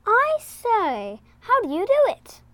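A woman voicing an eager, practical young boy in a storybook, excitable and bossy, with posh schoolboy exclamations. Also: very high voice, takes it slowly, dry recording, voice pushed up, heavily acted. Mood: excited wonder.

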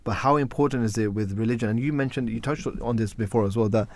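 A man's voice: medium pitch, fast, a little mellow. A man whose mother tongue is Urdu hosts a casual radio discussion, hesitating and restarting often and ending points with tag questions. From parents from Pakistan. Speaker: radio show host.

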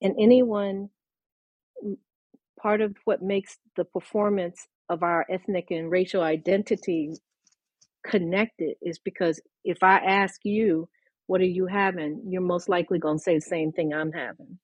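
Husky low-voiced woman, dry close sound. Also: fairly even intonation, a little slow, no echo, highs cut off. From mid-Atlantic United States. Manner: thoughtful, lecturing, with laughs and restarts. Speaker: author and scholar guest. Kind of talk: conversational podcast discussion.

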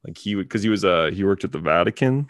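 A man's voice: low voice, animated, fast talker, no echo. A man co-hosting a podcast, talking in a loose, rambling way with lots of fillers. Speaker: podcast co-host. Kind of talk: casual chat.

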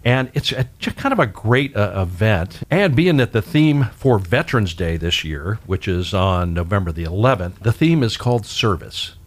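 A man's voice: on the low side, big pitch swings, normal speed, hardly any pauses. A man hosting a weekly public affairs radio show, chatting and interviewing guests in an upbeat way. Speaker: radio host.

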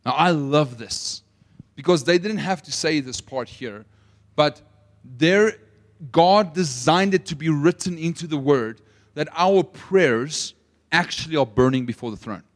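A young South African man preaching a sermon, telling a story with swings between loud emphasis and quiet asides. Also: a little high, unhurried. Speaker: pastor.

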